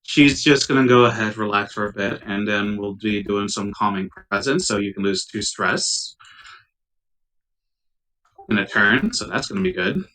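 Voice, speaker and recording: Bright-voiced man, away from the mic. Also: lowish voice, animated, moderate pace. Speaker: tabletop game player. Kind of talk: casual game chat, in and out of character. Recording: distant mic on laptop recording.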